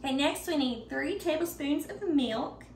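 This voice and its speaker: A woman's voice: high-pitched, animated, moderate pace, darkish tone, a little hiss or hum. A woman in her thirties chatting to viewers as she bakes desserts in her home kitchen, upbeat and talkative. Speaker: baking vlogger. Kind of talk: casual baking tutorial.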